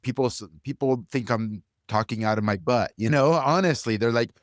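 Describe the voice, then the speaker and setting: A man's voice: medium pitch, moderate pace, no echo, clean studio sound. A man hosting a podcast, talking casually and with opinion in an informal conversational way. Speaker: podcast host.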